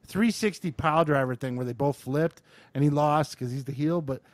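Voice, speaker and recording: An American man co-hosting a podcast, chatting casually in an excitable, enthusiastic way and swearing freely as he retells sports highlights. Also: normal speed, dry recording. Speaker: podcast co-host.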